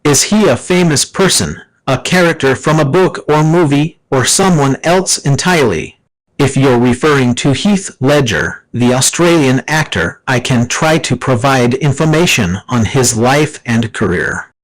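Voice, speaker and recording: A man's voice: mid-range voice, expressive, unhurried, rough recording. Formal synthetic-style man explaining. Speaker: AI assistant. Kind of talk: scripted explanatory reading.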